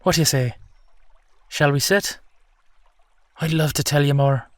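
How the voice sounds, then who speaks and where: A man's voice: a little high, measured pace, no echo, close to an everyday voice. Whimsical storyteller salmon-man, acted by a man. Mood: friendly, inviting. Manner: chatty, colloquial, playfully indignant. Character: whimsical, boastful ancient salmon-man, storyteller of Irish myth. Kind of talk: audio roleplay storytelling addressed to the listener.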